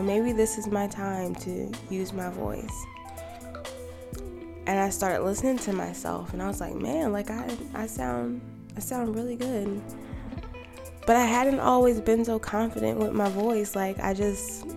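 A woman in her thirties, a podcast co-host, talking calmly and reflectively in a quiet, soft voice. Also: mid-range voice, vocal fry. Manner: calm and gentle. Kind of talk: reflective personal monologue. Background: music.

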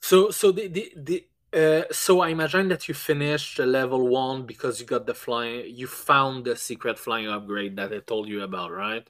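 A man's voice: medium pitch, fairly even intonation, normal speed, hardly any pauses, a bit bright. A man in casual, profane podcast chat about video games, often stammering and repeating his words as he talks. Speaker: podcast co-host.

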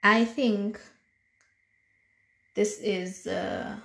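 Kenyan woman in her thirties, slightly hoarse, unhurried chatty monologue, lots of ums and pauses, very slow delivery. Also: mid-range voice, fairly even intonation, a bit bright. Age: 30s. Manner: slow, deliberate, chatty with frequent fillers. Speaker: podcast host. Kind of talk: casual solo monologue.

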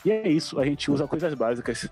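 A man's voice: on the high side, animated, fast, warm tone, soft background music. A man, an intern chatting casually in an interview. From Salvador, Bahia, Brazil. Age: 20s. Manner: chatty, addresses listeners as "cara".

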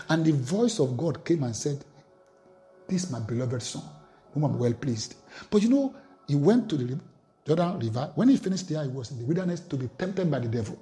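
A man's voice: a little high, a little mellow. A man preaching a sermon, reading scripture aloud with emphatic, rising delivery.

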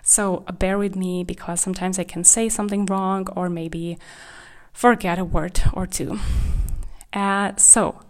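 Woman podcast host, from Kazakhstan, candid and reflective, medium pitch. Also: fairly even intonation, unhurried. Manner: candid, reflective, with small slips of grammar. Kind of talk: solo podcast monologue.

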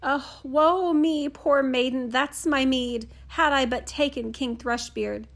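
A woman voicing a proud young princess who laments in a high, drawn-out voice. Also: fairly even intonation. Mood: lamenting.

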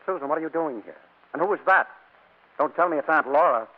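An actor playing an exasperated, suspicious husband, sputtering in a 1940s radio comedy. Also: male voice, on the high side, animated, fast talker. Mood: startled. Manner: sputtering, incredulous. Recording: tinny, noisy old radio recording.

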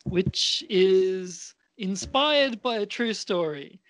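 A man with a fairly high voice chats casually and haltingly. He takes his time, and the sound is close and dry. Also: animated, a bit bright, dry recording, low-quality recording. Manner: hesitant, halting. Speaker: amateur writer and podcast performer. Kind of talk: casual chat.

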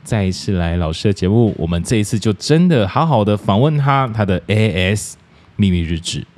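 A man's voice: fairly low pitch, expressive, fairly quick, darkish tone. A man hosting a podcast, talking casually in the role of a teacher to his students. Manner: plays a teacher addressing listeners as students. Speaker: podcast host. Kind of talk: casual interview chat with scripted sponsor reads.

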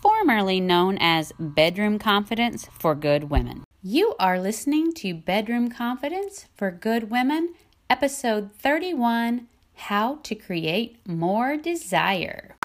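A woman's voice: medium pitch, lively intonation, slow pace. A middle-aged woman with a soothing voice, hosting her own podcast as an intimacy coach in a friendly, chatty way. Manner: friendly, chatty, laughs lightly. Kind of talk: solo podcast talk, partly scripted.

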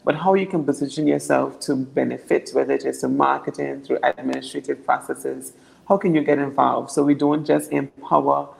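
A young woman from Anguilla with a naturally deep voice, answering interview questions earnestly and often repeating words as she builds her points. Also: steady tone, normal speed, vocal fry, a little mellow, poor audio. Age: young adult. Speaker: political candidate.

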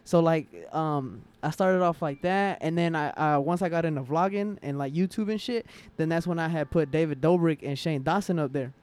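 Young male guest, slightly high-pitched, slangy, rambling casual chat. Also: normal speed, few pauses, a little mellow, clean tone. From Atlanta area, Georgia, USA. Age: young adult. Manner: slangy, rambling, heavy use of "like". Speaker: interview guest, vlogger.

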